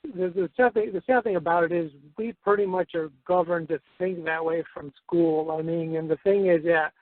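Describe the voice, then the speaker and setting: A man's voice: a little high, fairly even intonation, normal speed. A man calling in for advice, raised in the United States, Spain and Mexico, explaining himself casually and hesitantly with repeated phrases. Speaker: caller seeking advice. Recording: narrow-band phone line.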